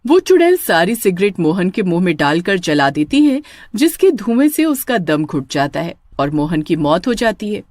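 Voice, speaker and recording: A woman's voice: fairly low pitch, sing-song, moderate pace, a little mellow, dry recording, studio quality. A woman narrator reading a scripted horror story.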